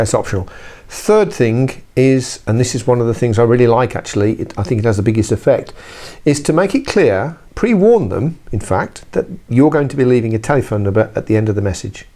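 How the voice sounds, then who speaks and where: A man's voice: medium pitch, dark tone. Man, British accent, middle-aged, informal instructional talk, chatty trainer, wry, self-correcting. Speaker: business trainer presenting a video blog.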